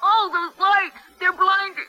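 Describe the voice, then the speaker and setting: An actress playing a frightened but defiant young prisoner in a 1970s radio drama, indignant and pleading. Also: female voice, very high voice, little pitch movement, measured pace, lo-fi sound, noisy background. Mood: pained, pleading.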